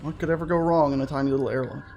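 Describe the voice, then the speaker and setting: A man's voice: medium pitch, fairly even intonation, rapid-fire, vocal fry, darkish tone, muffled recording, a little hiss or hum. A man giving casual, rambling let's play commentary, often interrupting himself with playful wording. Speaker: gaming video host. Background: game music.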